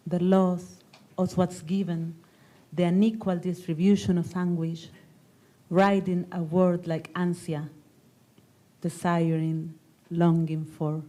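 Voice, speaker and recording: A woman poet on a writers' panel, reading her poem and then explaining it in a measured, reflective way. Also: on the low side, warm tone, low-quality recording. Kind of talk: poetry reading and panel talk.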